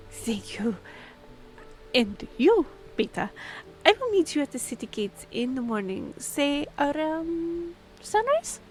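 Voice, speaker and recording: High-voiced, flustered half-elf liaison played by a woman. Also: sing-song, slow, soft background music, exaggerated voice. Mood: polite, businesslike. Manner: breathy sighs, stammering, pleading. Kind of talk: fantasy audio roleplay acting.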